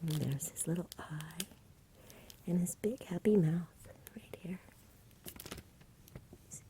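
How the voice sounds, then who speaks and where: A woman reading a story aloud in a gentle, hushed, unhurried ASMR style, mostly in a breathy whisper. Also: lowish voice, slight background noise. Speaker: storybook reader. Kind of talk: soft-spoken ASMR bedtime story reading.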